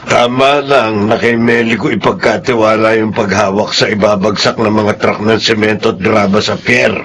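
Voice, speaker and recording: A man acting an ailing elderly father and businessman in a Filipino radio drama, patient but firm, with a gravelly voice. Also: fairly low pitch, fairly even intonation, moderate pace, fairly bright tone, a little hiss or hum. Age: older.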